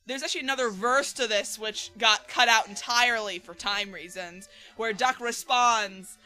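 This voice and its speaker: A woman's voice: on the high side, moderate pace, pushed and bright, soft background music, rough recording. A woman hosting a casual group commentary, chatty and hesitant, with many fillers. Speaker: fan series creator on commentary.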